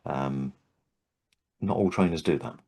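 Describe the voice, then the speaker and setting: Low-voiced older man chatting. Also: a little slow, a little mellow. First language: English. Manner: thoughtful, hesitant with frequent restarts. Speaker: Havening trainer and host. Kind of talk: casual interview chat.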